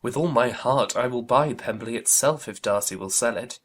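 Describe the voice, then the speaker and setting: Amiable young period gentleman male actor, easygoing, sincere, average-pitched voice for a dramatized audiobook. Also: moderate pace, close to an everyday voice. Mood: good-humoured.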